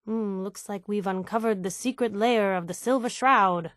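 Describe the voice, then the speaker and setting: An actress voicing a plucky young woman reporter in a video game, wry, colloquial and expressive, with a conscience behind the wisecracks. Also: mid-range voice, normal speed, dry recording, studio quality, lightly acted. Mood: curious, bantering. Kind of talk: video game companion voice lines.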